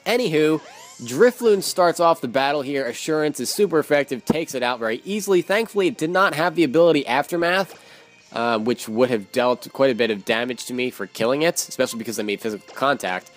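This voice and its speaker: A young man casually commenting on his own gameplay, rambling with self-mocking asides, his voice growing tired and hoarse from a long day of recording. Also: mid-range voice, expressive, fairly quick. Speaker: let's play commentator. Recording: faint game music behind.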